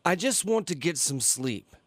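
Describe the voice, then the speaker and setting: A man's voice: on the high side, very animated, a little slow, fairly bright tone, full character voice. An actor playing a tired, guarded eighteen-year-old boy in an audio drama. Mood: weary, guarded.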